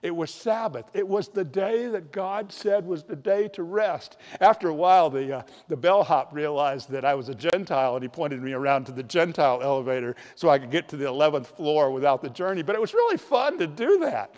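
An older man preaching a sermon with a storytelling manner, slowing down to stress key points, recorded in a large church on a handheld mic. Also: fairly high pitch, lively intonation, darkish tone. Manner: storytelling, varied emphasis, slows for key points. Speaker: priest. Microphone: handheld microphone. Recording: long church reverb.

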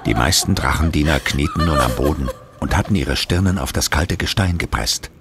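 An actor as a grave storyteller, narrating a horror audio drama in a solemn, suspenseful manner. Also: male voice, extremely low pitch, fairly quick, gravelly, a bit bright, soft background music, exaggerated voice.

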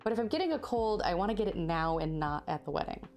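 A woman's voice: fairly low pitch, sing-song, fast talker, darkish tone, faint music behind. A woman in her thirties chatting casually with her stream while stitching, laughing often, with a slightly sore throat. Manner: chatty, laughs often, reads and answers chat. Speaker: cross-stitch livestreamer. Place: indoor home craft room.

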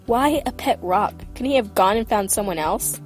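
A woman's voice: medium pitch, animated, normal speed, faint music behind, close to an everyday voice. An actress playing a skeptical, questioning, bookish young female student in an audio drama. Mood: skeptical, curious.

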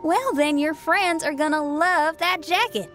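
A woman's voice: very high voice, measured pace, fairly bright tone, faint music behind. An actress playing a spirited young woman musician in a video game, colloquial, self-assured and encouraging.

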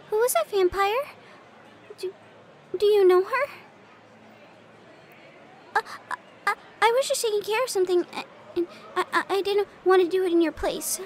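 A woman's voice: extremely high pitch, slight background noise. A woman voicing a timid, naive young spider girl in an audio roleplay, stammering and flustered.

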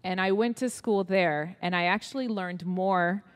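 A woman in her forties with an American accent and a lowish voice, speaking into a handheld mic on a large stage. She talks candidly and pokes fun at herself, with frequent ums along the way. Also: measured pace, smooth. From Israel. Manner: candid, self-deprecating, many fillers. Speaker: ministry leader, guest. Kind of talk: onstage interview.

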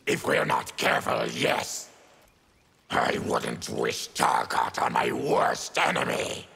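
An actor voicing a fierce mutated monster warrior in a video game, with a guttural, menacing snarl. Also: male voice, extremely low pitch, huge pitch swings, slow pace, gravelly, pushed and bright, slightly rough, full character voice. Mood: grave. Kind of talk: video game character acting.

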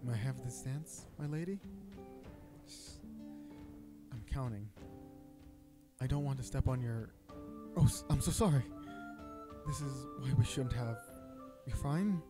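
A man's voice: mid-range voice, unhurried, pauses often, music in the background, lightly acted. A man playing an earnest royal knight and bodyguard in a romantic audio roleplay, speaking formally and hesitantly to his princess. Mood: flustered. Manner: earnest, hesitant, stammering, formal courtly address. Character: young male knight, protective royal bodyguard, earnest and flustered. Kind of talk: romantic audio roleplay acting, addressing the listener.

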